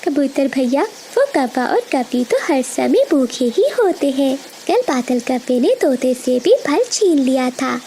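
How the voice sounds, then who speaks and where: A woman plays a kind little female sparrow in a cartoon tale. She speaks high and lively, with polite, gentle manners, over faint noise. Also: unhurried, hardly any pauses. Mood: friendly.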